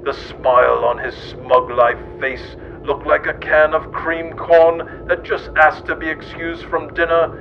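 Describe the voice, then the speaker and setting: Guy reading absurd fake history, kinda high voice, muffled lo-fi sound. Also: flat delivery, unhurried, vocal fry, few pauses. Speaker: narrator. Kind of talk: absurdist scripted narration, mock history. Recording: muffled lo-fi audio with loud rumbling noise.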